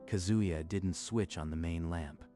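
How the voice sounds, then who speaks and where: A man narrating a scripted story in a measured, even manner. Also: low-pitched, faint music behind.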